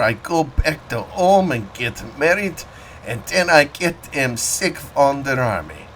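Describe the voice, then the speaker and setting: A man's voice: medium pitch, a bit bright, a little hiss or hum. A man voicing an older German immigrant farmer, with a German accent, proud and talkative, in broken English.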